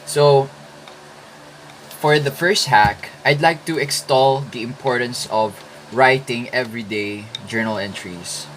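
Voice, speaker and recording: A man in his twenties from the Philippines, whose first language is Filipino, talking through writing tips in a casual vlog with thoughtful pauses and restarts. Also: mid-range voice, a bit bright, slight background noise, poor audio. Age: 20s. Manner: slow, thoughtful, with hesitant restarts. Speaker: writing vlogger.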